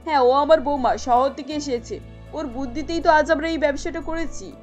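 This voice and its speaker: A kindly older mother-in-law from a village cartoon, acted by a woman, speaking in a fairly high voice. She is homely and motherly, with faint music behind her. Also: fairly quick, close to an everyday voice. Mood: matter-of-fact. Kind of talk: cartoon character acting.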